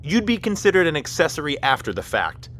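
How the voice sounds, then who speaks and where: A man's voice: a little high, very animated, a little fast, a bit bright, a little hiss or hum. A man playing a stern, by-the-book military officer in an audio drama, speaking formally and procedurally. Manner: formal, procedural, addresses others as sir and ma'am.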